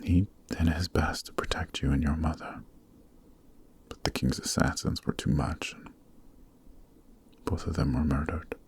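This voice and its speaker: A man playing a weary, noble Demon King in a fantasy ASMR roleplay, speaking softly and solemnly with a breathy voice. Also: steady tone. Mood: grieving, solemn. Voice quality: very deep, soft, breathy, half-whispered. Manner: slow, solemn, earnest, formal phrasing. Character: weary, noble adult male Demon King, sorrowful and gentle. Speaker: voice actor.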